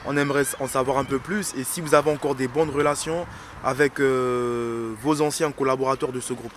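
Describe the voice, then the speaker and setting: A male interviewer asks casual questions in an even, middle-pitched voice and often repeats his guest's words back to him. He is recorded outdoors near a street, with faint traffic behind him. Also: fairly quick.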